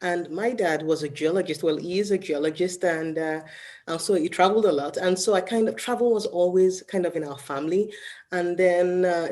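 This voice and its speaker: Low, mellow woman from Nigeria. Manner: relaxed, fills with "you know". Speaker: guest. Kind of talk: casual interview answers.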